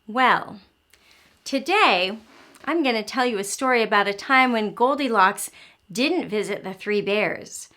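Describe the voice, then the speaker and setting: A woman's voice: on the high side, lively intonation, a little slow, pushed and bright. A woman hosting an interactive read-aloud for young children, telling the story expressively and explaining as she goes. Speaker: host of children's early learning show.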